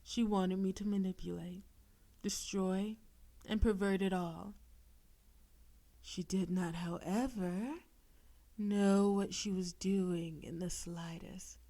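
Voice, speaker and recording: A woman voicing a possessive, seductive female demon in an intimate audio roleplay, teasing and coaxing with theatrical menace, sometimes breathy. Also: medium pitch, fairly even intonation, slow pace, pauses often, dark tone. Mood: mocking, wicked. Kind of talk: intimate audio roleplay, scripted monologue to listener.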